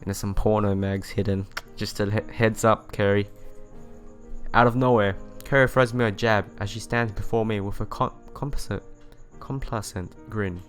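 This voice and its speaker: A man's voice: on the low side, steady tone, normal speed. A man reading a visual novel aloud, with casual asides and some stumbles over words that he goes back over. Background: music playing behind the voice.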